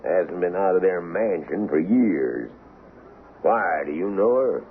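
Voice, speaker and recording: A folksy, easygoing frontier sheriff with an American accent and an extremely deep voice. He talks like a small-town gossip in a vintage radio drama, thin in sound with faint noise. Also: animated, takes it slowly, narrow, tinny audio, exaggerated voice. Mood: folksy, chatty. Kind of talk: 1970s radio drama acting.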